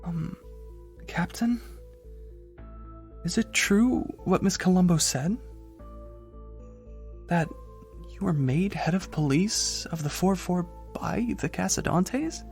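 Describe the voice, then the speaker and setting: Man playing a young rookie cop, swooping pitch. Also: expressive, slow pace, vocal fry, long pauses, a little mellow, faint music behind, exaggerated voice. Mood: eager, hesitant. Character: young male rookie cop, seemingly eager, secretly a sly informant. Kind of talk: noir audio drama dialogue.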